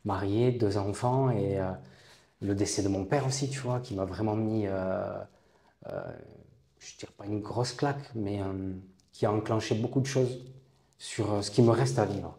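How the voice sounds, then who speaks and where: A man in his late thirties from southern France, a guest telling his own story in a thoughtful, halting way with many pauses and restarts. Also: on the low side, fairly even intonation, dark tone, reverberant. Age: late 30s. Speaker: interview guest. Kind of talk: reflective personal storytelling.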